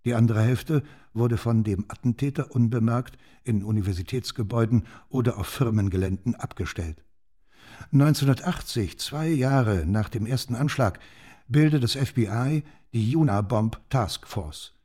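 A man's voice: medium pitch, normal speed, warm tone. A man reading scripted documentary narration in a sober, matter-of-fact manner.

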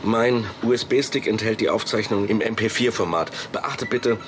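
A man's voice: lowish voice, unhurried, background noise, close to an everyday voice. A man playing a businesslike, precise company manager in a radio drama. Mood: pleased, businesslike. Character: businesslike company man presenting software test results.

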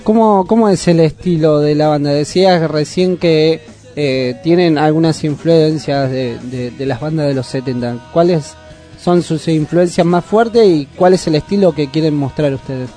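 Man, radio host, high but mellow voice, faint music underneath. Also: moderate pace. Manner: hesitant, rephrasing questions. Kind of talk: casual radio interview. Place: radio studio.

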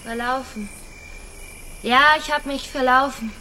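A slight young woman drifting alone in a radio drama. Her voice sits a little high and she speaks hesitantly, with guarded politeness. Faint night noise with crickets lies behind her. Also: measured pace, pushed and bright. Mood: guarded, weary. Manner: hesitant, polite, evasive short answers. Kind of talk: radio drama acting in German.

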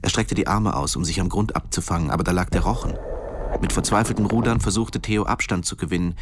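A man as a low-voiced, wry diving instructor. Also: quick pace, background noise, natural voice. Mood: matter-of-fact, tense. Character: diving instructor, man, wry, defensive, narrating his story. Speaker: narrator. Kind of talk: radio drama first-person narration.

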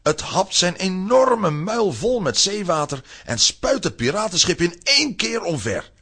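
A man narrating a children's adventure story from a script in a husky voice with dramatic delivery. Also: high-pitched, very animated, measured pace, a bit bright.

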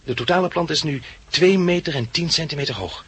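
A man's voice: a little high, fairly quick, fairly bright tone, slightly rough. An actor playing an eager, polite young plant enthusiast who tells his story in a 1960s Dutch radio drama. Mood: eager, nervous. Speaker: lead character and narrator.

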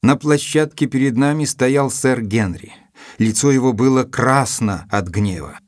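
An actor playing a composed gentleman doctor who narrates a detective story in a literary manner. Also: mid-range voice, unhurried, no room sound, studio quality, natural voice. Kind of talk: scripted narration.

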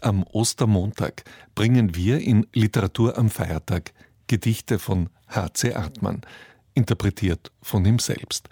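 A man's voice: sits low, dark tone, husky, no room sound, studio quality. A man reading a scripted programme announcement on the radio.

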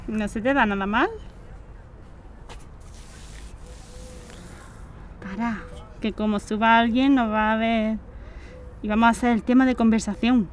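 A woman's voice: fairly high pitch, steady tone, moderate pace, natural voice. A woman with a Spanish accent acting a teasing, secretive, flirtatious neighbour in an audio drama, playful and coy. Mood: cautious, flirty. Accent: Spain. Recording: clear ambient noise behind voice.

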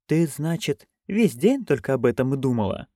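A storyteller voicing a chatty, coarse, bawdy old witch woman who rambles loudly. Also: mid-range voice, big pitch swings, moderate pace, no echo, studio quality. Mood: stunned.

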